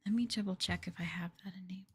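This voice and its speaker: A woman hosting an ASMR livestream, chatting casually and gently in a soft, breathy voice that often drops into a whisper, close to the mic. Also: medium pitch, steady tone, rapid-fire. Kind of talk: soft-spoken ASMR casual chat with viewers. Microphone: close ASMR microphone.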